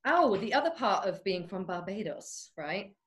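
A woman hosting a casual interview chat, playful and quick to laugh. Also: mid-range voice, very animated. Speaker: host.

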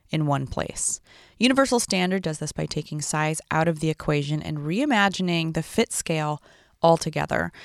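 Chatty low-voiced woman podcast host. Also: vocal fry, no echo, studio quality. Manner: upbeat, chatty, quick asides. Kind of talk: casual interview chat.

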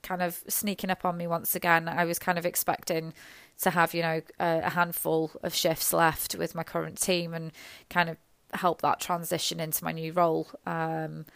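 Hoarse nurse with a gravelly voice, smooth underneath, rambling, self-deprecating monologue. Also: female voice, sits low, monotone, moderate pace, few pauses, no echo. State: hoarse from illness. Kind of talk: reflective personal monologue.

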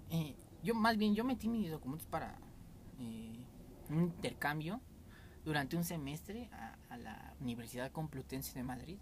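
Mexican podcast host, young man, candid rambling storyteller, faint room noise. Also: high-pitched. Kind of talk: casual podcast chat.

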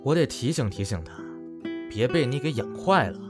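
A man playing a laid-back young actor in an audio drama, speaking in an unhurried, understated, dryly teasing way. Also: medium pitch, expressive, music in the background, close to an everyday voice. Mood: relaxed, teasing. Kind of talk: modern radio drama acting.

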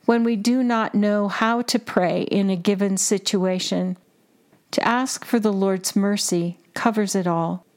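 A woman guiding contemplative prayer, reading in short, measured and deliberate phrases. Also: medium pitch, fairly even intonation, a little mellow. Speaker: prayer guide and podcast host. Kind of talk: slow, repetitive guided contemplative prayer reading. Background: music under the voice.

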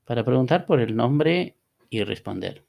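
Slow, gravelly man teaching. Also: medium pitch, animated, darkish tone. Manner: slow, deliberate, reads model dialogues aloud. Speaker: Spanish teacher. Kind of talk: scripted language lesson podcast.

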